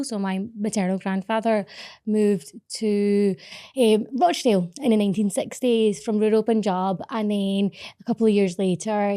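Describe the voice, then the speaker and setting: A Scottish woman, a podcast host, chatting in a casual interview, animated and quick to laugh, with Scots words in her talk. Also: mid-range voice, clear, resonant tone. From Scotland.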